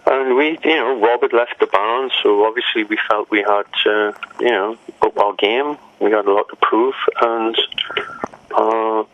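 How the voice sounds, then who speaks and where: A man in his sixties from England talks through old stories in a low voice, laughing often at the memories. His manner is wry and loose, and he drifts from one anecdote to the next. The audio is dull and muffled, like a lo-fi recording. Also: fairly even intonation, measured pace, slight background noise. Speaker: musician guest. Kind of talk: casual interview storytelling.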